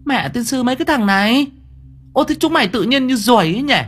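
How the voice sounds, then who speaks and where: A man's voice: mid-range voice, very animated, moderate pace, breathy edge. A man voicing a bossy, foul-mouthed young woman exorcist who scolds shrilly. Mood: scolding.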